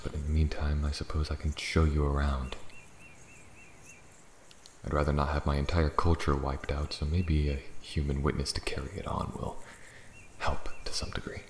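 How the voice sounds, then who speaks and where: A man playing a proud, bitter, ancient dragon in a fantasy ASMR roleplay, brooding and scornful, theatrical, dipping into hushed breathy tones. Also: a bass voice. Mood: grudging, contemptuous. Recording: roomy echo, faint background noise.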